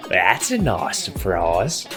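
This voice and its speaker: A man's voice: sits low, huge pitch swings, slow pace, fairly bright tone, soft background music, exaggerated voice. A man voicing a creepy, politely intrusive middle-aged landlord in a visual novel, with a put-on British accent that drifts into Australian. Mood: creepily polite.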